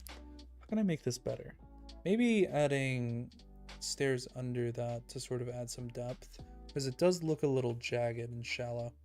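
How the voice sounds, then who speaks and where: A young man streaming a building game, talking calmly and evenly in a soft, soothing voice he calls monotone. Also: medium pitch, measured pace, soft background music. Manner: calm, soft-spoken, self-described monotone. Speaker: Minecraft streamer. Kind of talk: casual livestream chat while building in a game.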